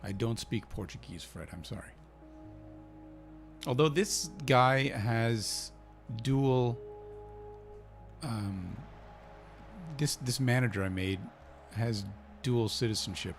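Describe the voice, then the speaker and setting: Icelandic guy talking through his football manager game, low gravelly voice, music under it. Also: a little slow. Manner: chatty, thinking aloud, cheering his team on. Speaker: gaming channel host.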